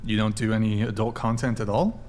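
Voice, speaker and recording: A man hosting a dating panel show, asking brisk follow-up questions around the table. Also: lowish voice, flat delivery, a little mellow, slight background noise. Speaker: podcast host.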